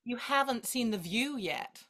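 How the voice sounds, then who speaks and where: A woman's voice: fairly high pitch, animated, measured pace, fairly bright tone. A woman acting in a naturalistic drama as a playful, caring best friend with a light, teasing, encouraging manner.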